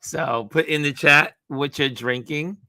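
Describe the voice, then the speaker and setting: A man with a raspy voice hosting a casual live stream, reading viewer comments aloud and greeting people with upbeat shout-outs. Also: on the high side, a little slow, no room sound. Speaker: host.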